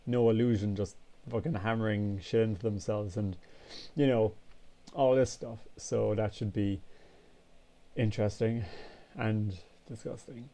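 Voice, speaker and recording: A man, congested and sniffly, rambling casually in a vlog. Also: lowish voice. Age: 20s. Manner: rambling, relaxed, casual swearing. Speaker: vlogger. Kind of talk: casual chat.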